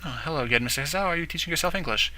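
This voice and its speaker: A man's voice: on the high side, racing, pushed and bright, pitched above the performer's own voice. A man voicing a courteous, friendly middle-aged mother in a visual novel playthrough. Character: polite middle-aged mother, gently encouraging.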